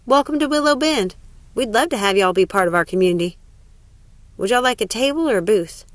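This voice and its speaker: A woman's voice: on the high side, expressive, a little fast, no room sound, natural voice. A woman voicing a gushing, welcoming small-town restaurant hostess in an audiobook story. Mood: welcoming, hopeful.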